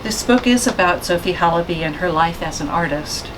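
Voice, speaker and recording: A woman with a soft voice reading a formal introduction of a speaker at a bookstore event. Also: on the low side, lively intonation, brisk, background noise.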